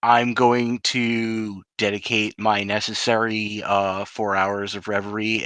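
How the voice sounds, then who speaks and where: A man's voice: on the low side, bright, forceful tone, no room sound. A man playing a tabletop role-playing game, chatting casually and inquisitively in informal language with some mild swearing.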